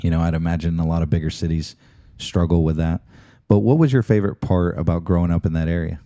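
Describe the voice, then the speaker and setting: A man's voice: low voice, fairly even intonation, fast talker, warm tone, no room sound, clean studio sound. A man hosting a talk show, chatting casually with his guest in an interview.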